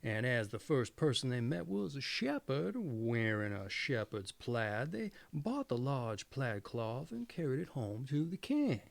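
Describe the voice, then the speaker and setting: A man's voice: medium pitch, big pitch swings, a little slow, gravelly, few pauses, no room sound. A man reading a fairy tale aloud as a storyteller.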